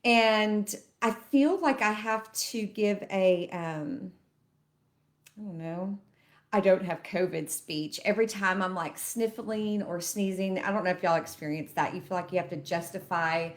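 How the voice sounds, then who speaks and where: A woman's voice: mid-range voice, measured pace. A middle-aged woman, a loan officer chatting to camera and explaining things step by step, a bit sniffly from allergies. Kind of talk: casual educational talk to camera.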